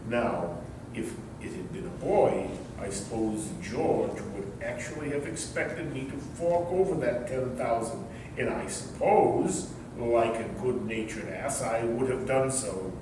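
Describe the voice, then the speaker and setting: A man playing a slow, pompous, stingy uncle. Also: animated, close to an everyday voice. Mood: smug relief. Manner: pompous, hesitant, formal. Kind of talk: readers theater stage comedy. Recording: echoey hall, distant mic, rumbling noise.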